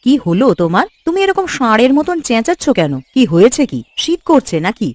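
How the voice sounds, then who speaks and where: A woman voicing an expressive, fretful village housewife in a cartoon, with a gossipy, nagging way of talking. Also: fairly high pitch, brisk, no echo. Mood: bossy. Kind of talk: cartoon voice acting.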